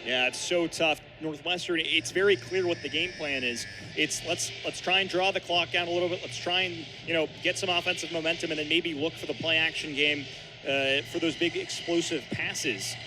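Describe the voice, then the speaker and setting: A man's voice: on the high side, fairly even intonation, normal speed, music in the background, low-quality recording. A young man giving explanatory, analytical color commentary on a live football radio broadcast. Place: outdoor football stadium broadcast. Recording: stadium broadcast with loud ambient noise.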